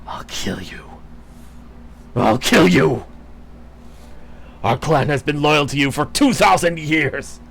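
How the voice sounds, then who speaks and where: A man's voice: mid-range voice, expressive, slow pace, gravelly, long pauses, breathy edge, exaggerated voice. A man voicing a loyal male fairy beast clan chief who pleads with his queen and later roars in fury. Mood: furious. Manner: pleading, then roaring with rage.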